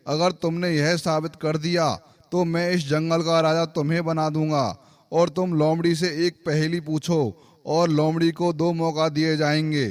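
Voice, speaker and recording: Man voicing a higher-pitched solemn cartoon lion king. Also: flat delivery, unhurried, clean tone, natural voice. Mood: solemn, deliberate. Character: solemn, fair-minded old lion king of the jungle. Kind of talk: animated moral story acting.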